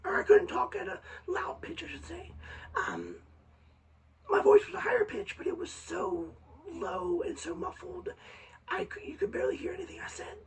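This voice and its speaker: Hoarse, gravelly young woman, very high voice, halting casual vlog delivery. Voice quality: raspy, hoarse after vocal surgery. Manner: halting, many ums and restarts. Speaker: vlogger. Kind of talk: casual personal update. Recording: slightly distant mic with faint hiss.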